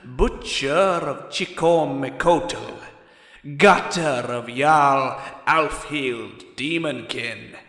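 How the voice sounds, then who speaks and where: A man voicing a menacing, boastful ratman warlock in a fantasy story, declaiming pompously and deliberately. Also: mid-range voice, a bit bright. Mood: boastful menace. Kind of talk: fantasy audiobook character acting. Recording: echoey hall with long reverb.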